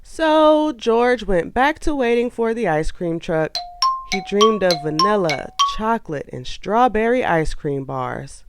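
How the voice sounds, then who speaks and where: A woman narrating a children's storybook aloud in an expressive, animated way. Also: mid-range voice, a little slow, music in the background.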